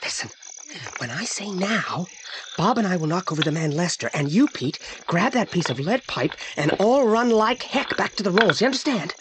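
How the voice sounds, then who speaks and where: A man's voice: high voice, lively intonation, moderate pace, a little hiss or hum. An actor playing a clever, bossy boy detective in an audio drama, precise and commanding. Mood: determined. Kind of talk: 1980s British audio drama.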